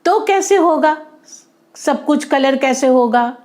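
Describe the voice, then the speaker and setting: A woman's voice: high voice, animated, takes it slowly, a little mellow, pitched above the performer's own voice. A woman voicing a clever, confident young boy who explains things simply and asks questions in a children's story. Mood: explaining.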